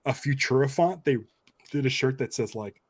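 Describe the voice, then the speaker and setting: A man's voice: medium pitch, moderate pace, no room sound. Male podcast host, middle-register voice, loose conversational delivery. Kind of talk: casual chat.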